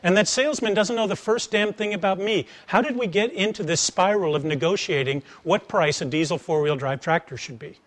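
A middle-aged man with an American accent delivers an informal talk. His voice sits a bit higher than most men's, and he explains through animated anecdotes, often stopping to pose a rhetorical question. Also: a little fast, few pauses. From United States. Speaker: speaker giving a talk, former engineer turned sales trainer. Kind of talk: conversational public lecture with acted-out anecdotes. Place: attic seminar room with wooden floor. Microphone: lapel mic.

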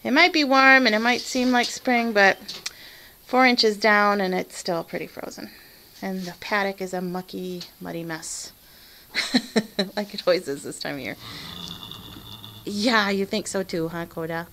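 A woman's voice: mid-range voice, unhurried, long pauses, sharp tone, a little hiss or hum. A woman from Michigan chatting casually as she films her barn chores, laughing often. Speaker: farm vlogger. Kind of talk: casual narration while doing chores. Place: barn, indoors.

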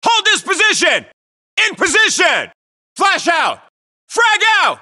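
American Marine, video game soldier, very high strained pitch, gritty bright bark, clipped urgent callouts. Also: male voice, wildly swooping pitch, slow pace, heavily acted. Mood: tense, commanding. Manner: clipped, urgent, shouted radio-style callouts. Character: young tough US Marine barking combat callouts. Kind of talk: military video game battle chatter.

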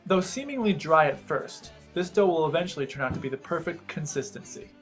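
Slightly high male voice-over narrator. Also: expressive, a little fast. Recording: voice-over with faint background guitar.

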